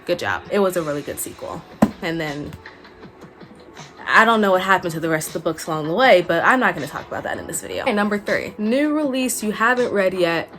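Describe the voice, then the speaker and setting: A woman's voice: fairly low pitch, animated, a little fast, over music. A young adult woman chatting informally and a bit ramblingly to viewers, as a book vlogger recording in a small furnished room. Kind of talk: casual chat to viewers.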